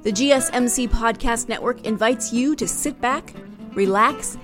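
Higher-pitched woman announcer over guitar music. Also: unhurried. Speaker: podcast announcer. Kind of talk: scripted show introduction.

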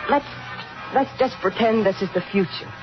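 An actress playing a devoted, pleading sweetheart in a 1950s radio suspense drama, tender, coaxing and insistent. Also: female voice, fairly high pitch, normal speed, lightly acted. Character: devoted, pleading young sweetheart of a doomed man. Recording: muffled old radio recording with music.

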